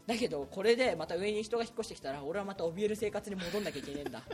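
A young man hosts an informal, joking chat show. His pitch moves around a lot and he talks quickly, over faint music. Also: expressive. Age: young adult. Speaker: podcast host.

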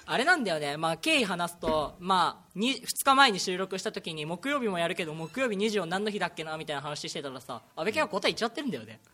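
A young man hosting a casual amateur radio chat with friends, talking in a playful, teasing, excitable way. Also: sits high, fast talker, few pauses. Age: young adult. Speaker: radio show host.

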